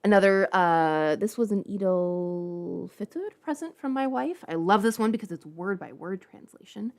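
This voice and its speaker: A woman's voice: mid-range voice, animated, moderate pace, a little mellow. A woman hosting a livestream, chatting casually and playfully with informal asides. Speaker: livestream host.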